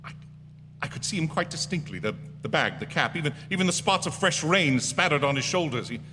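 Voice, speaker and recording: A man acting as an uneasy motorist in a radio drama, nervous and sometimes halting as he tells of being haunted. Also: fairly high pitch, expressive, pushed and bright, soft background music. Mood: haunted, tense. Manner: nervous, halting, stammering under strain. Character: uneasy male motorist haunted by a recurring hitchhiker. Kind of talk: radio drama first-person narration and acting. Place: stage of a theatre. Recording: echoey theatre hall with long reverb.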